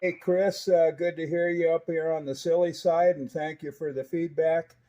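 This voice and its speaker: An older American man with a mellow, dark voice chats in a folksy way as a CB radio operator. He speaks in casual check-ins full of radio lingo. The sound is slightly dull and lo-fi. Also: fairly high pitch, flat delivery, moderate pace. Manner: folksy, chatty, jargon-filled. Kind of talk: casual radio check-in chat using CB jargon. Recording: dull, lo-fi audio.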